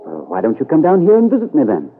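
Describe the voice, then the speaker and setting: An actor playing an evasive, smooth-talking man who placates and coaxes, in an old-time radio soap. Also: a little high, huge pitch swings, quick pace, exaggerated voice. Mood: jovial, eager. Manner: placating, eager, chuckling. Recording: muffled narrow-band vintage radio recording.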